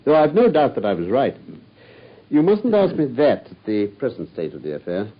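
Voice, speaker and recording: Self-assured Victorian detective lecturing, husky tone, lively pitch, dull old radio sound. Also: male voice, normal speed. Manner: crisp, confident, lecturing. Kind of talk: radio drama.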